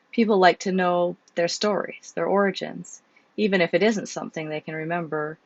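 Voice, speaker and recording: A hoarse woman reading as a curious, gently probing young woman. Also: mid-range voice, dry recording, dull audio, close to an everyday voice. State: hoarse with a cold.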